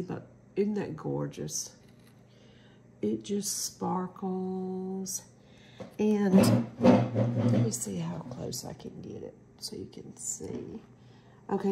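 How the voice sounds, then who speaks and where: Slow, gravelly-voiced woman with a stuffy cold. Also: mid-range voice, dark tone, slight background noise. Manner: chatty, rambling, hesitant with ums. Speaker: crafting channel host. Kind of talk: casual craft demo.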